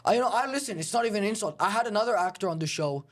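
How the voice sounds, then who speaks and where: Young male host, high voice, dry and provocative, deadpan bits. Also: racing. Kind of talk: deadpan comedic interview.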